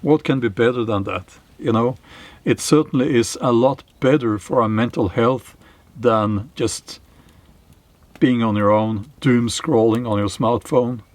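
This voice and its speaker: A middle-aged Norwegian man speaking English with a Norwegian accent, giving a slow, reflective monologue to camera, with pauses and fillers. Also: medium pitch, darkish tone, dry recording. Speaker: channel host, historical novelist. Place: small cave in a forest.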